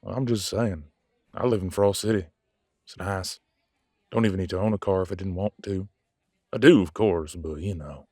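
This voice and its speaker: Guy doing cocky werewolf vet for audio drama, deepish voice, laid-back streetwise talk, no room sound. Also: moderate pace, pauses often, mellow, no echo, studio quality. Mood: brash, needling. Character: cocky, casual male werewolf veterinarian, gruff but soft-hearted. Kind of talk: audio roleplay drama dialogue.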